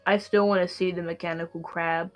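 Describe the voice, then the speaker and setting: A woman's voice: lowish voice, little pitch movement, fairly quick. A young woman joking playfully and casually as she comments on a friend's gameplay over a voice call. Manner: playful, silly. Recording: voice call with faint game music.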